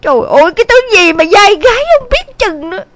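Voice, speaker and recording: A woman's voice: high-pitched, animated, a little slow, dry recording. Casting: fiery middle-aged village mother played by a woman, southern Vietnamese accent, swooping delivery, scolding and exasperated, dry audio-story recording. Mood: furious, exasperated. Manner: scolding, exclamatory, dramatic. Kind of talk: dramatised audio story acting.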